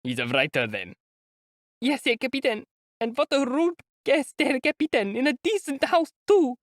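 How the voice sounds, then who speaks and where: A reader voicing an excitable, indignant German landlady with a German accent, rattling out her complaints. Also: high-pitched, a little slow, no room sound, studio quality, pitched above the performer's own voice. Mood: aggrieved. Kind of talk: audiobook character voice.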